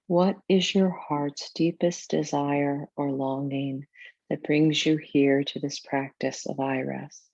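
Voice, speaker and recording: A woman teaching yoga nidra, narrating a guided meditation in a soothing, deliberate way with measured pauses. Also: low-pitched, dark tone, no room sound, highs cut off.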